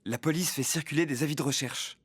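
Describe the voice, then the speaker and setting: Brisk cartoon newsreader. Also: male voice, on the high side, fairly bright tone, husky. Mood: formal. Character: formal, brisk male television newsreader. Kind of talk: news bulletin in a cartoon dub.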